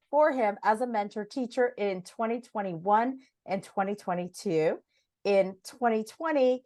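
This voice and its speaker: A woman hosting a podcast in a chatty, effusive, enthusiastic manner. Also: medium pitch. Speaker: podcast host.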